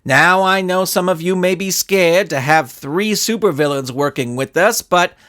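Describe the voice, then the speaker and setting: A man's voice: high-pitched, a bit bright. A man as a flustered kids' coach. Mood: awkward, polite. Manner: halting, flustered, explanatory. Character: awkward, well-meaning male children's soccer coach.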